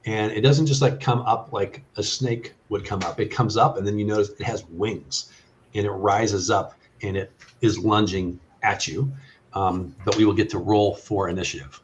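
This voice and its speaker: A man with a slightly low voice narrates a tabletop game as game master. He speaks haltingly and thinks aloud as he goes. There is faint noise on his online call. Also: fairly even intonation.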